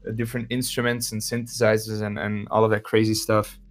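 A young man from Belgium with a Belgian Dutch accent, a musician talking casually as a podcast guest, halting with many fillers and restarts. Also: fairly low pitch, little pitch movement. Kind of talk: casual interview chat.

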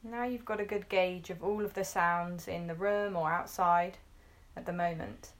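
A woman leading a guided meditation in a gentle, reassuring manner, speaking in short phrases with pauses between them. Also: fairly low pitch, fairly even intonation.

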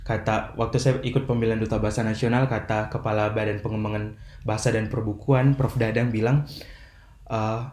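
A man's voice: fairly low pitch, level tone, a little mellow. Earnest young man in a roomy room. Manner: measured, formal phrasing with frequent fillers. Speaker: podcast guest, language ambassador. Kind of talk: earnest motivational explaining. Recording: roomy echo.

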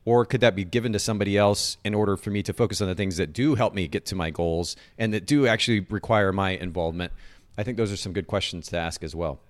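A man's voice: lowish voice, quick pace. A man hosting a live-streamed podcast interview, enthusiastic and repeating words as he starts sentences. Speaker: podcast host.

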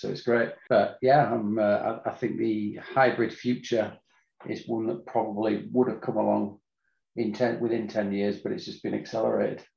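A man co-hosting a podcast, joking and relaxed as he chats over a video call. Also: lowish voice, creaky, echoey room, lo-fi sound. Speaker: podcast co-host. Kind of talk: casual podcast conversation.

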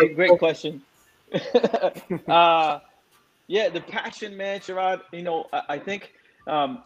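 A man's voice: a little high, highs cut off. A man in his forties, from Singapore, speaking as a guest in a frank, casual way with many fillers. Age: 40s. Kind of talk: casual interview answer.

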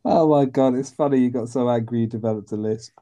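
A woman's voice: extremely low pitch, fast, dark tone. A woman co-hosting a podcast in casual chat, teasing her partner and laughing often. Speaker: podcast co-host.

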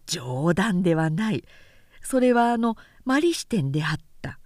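Woman, story narrator, lowish voice, animated intonation, scripted literary reading, dry sound. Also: unhurried, dry recording.

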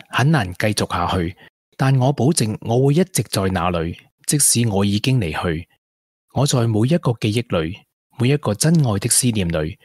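An actor playing a supportive, sensible young boyfriend in a Hong Kong Cantonese radio drama. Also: male voice, lowish voice, slightly rough, no echo.

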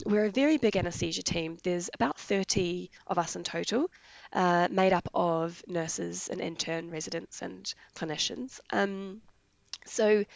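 Raspy-voiced woman from New Zealand, low and gravelly. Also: no echo, dull audio. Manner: enthusiastic, explains jargon in plain terms. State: raspy voice from illness. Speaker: veterinary anaesthesia nurse. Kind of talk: casual interview answers.

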